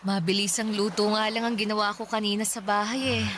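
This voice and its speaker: Babaeng gumaganap na mapag-arugang inang balisa. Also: female voice, mid-range voice, little pitch movement, fairly quick, background noise, lightly acted. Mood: tender, apologetic. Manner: tender, protective, pleading. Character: devoted, anxious mother of a sick son. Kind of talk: Filipino radio drama acting.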